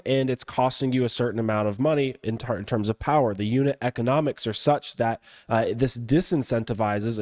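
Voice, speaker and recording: A man with a raspy, cold-roughened voice, explaining crypto market analysis step by step as a guest analyst. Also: medium pitch, darkish tone. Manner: measured, explains step by step, asks himself questions. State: hoarse from a cold.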